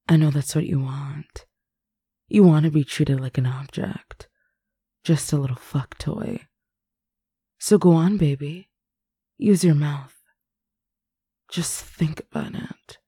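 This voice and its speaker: A woman speaking an intimate roleplay in a teasing, commanding, sultry manner, close to the mic and often dropping into breathy whispers. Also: sits low, dark tone, no room sound. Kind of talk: intimate adult roleplay audio.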